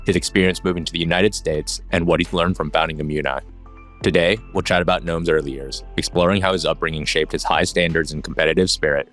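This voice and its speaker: A man's voice: low-pitched, fairly bright tone. A man speaking as a podcast host and interviewer. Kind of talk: casual podcast interview with scripted intro.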